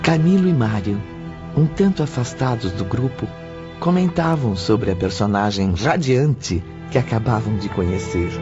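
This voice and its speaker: A man narrating an audio drama in a slow, expressive storytelling manner. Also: on the low side. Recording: muffled lo-fi audio with music.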